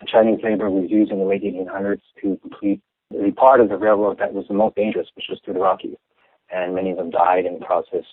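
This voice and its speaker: A young Canadian man answering interview questions in a measured, explanatory way, with some restarts. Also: low-pitched, animated, vocal fry, no room sound. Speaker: interview guest. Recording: tinny phone line.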